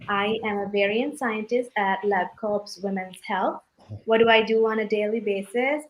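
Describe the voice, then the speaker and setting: A woman's voice: medium pitch, a little hiss or hum, low-quality recording. A woman scientist speaking as a panel guest, giving a prepared, explanatory self-introduction.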